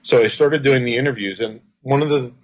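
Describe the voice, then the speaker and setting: A man's voice: medium pitch, brisk. A man being interviewed as a guest over the phone, explaining at length in an earnest way. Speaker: guest, activist and researcher. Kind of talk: interview answers. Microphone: phone line. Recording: tinny phone line.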